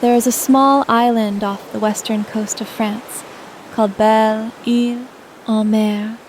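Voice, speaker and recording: A woman narrating a scripted guided sleep meditation in a soothing, gentle manner. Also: on the high side, level tone, measured pace, slight background noise, rough recording.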